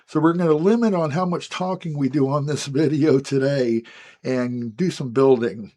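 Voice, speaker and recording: Hoarse raspy-voiced man, mellow tone. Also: medium pitch, normal speed. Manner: relaxed, chatty, frequent "guys" and "um". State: hoarse, recovering from lost voice. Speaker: scale model building host. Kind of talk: casual step-by-step building walkthrough.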